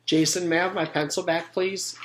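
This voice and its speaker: Man putting on an indignant, higher-pitched schoolgirl. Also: little pitch movement, brisk, fairly bright tone, slight background noise, natural voice. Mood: wary. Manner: accusing, teasing. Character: indignant, teasing schoolgirl with a sharp tongue.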